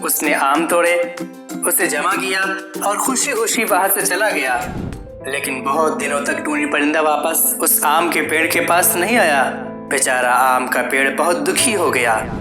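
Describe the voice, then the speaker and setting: A woman's voice: sits low, measured pace, roomy sound, over music. A woman narrating a scripted moral story for children as a storyteller.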